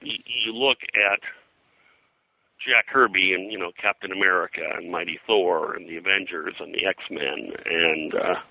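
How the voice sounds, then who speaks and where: An older man speaking as an interview guest and historian, telling anecdotes in a casual, halting, discursive way. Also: low-pitched, steady tone, gravelly, pushed and bright, dry recording, poor audio. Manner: halting, discursive, hesitant phrasing.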